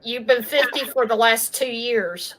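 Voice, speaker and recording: A woman with a fairly high voice chats casually and teases the host about his age. Her talk is relaxed and chatty, with a light, playful edge. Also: measured pace. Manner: teasing, chatty. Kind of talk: casual chat.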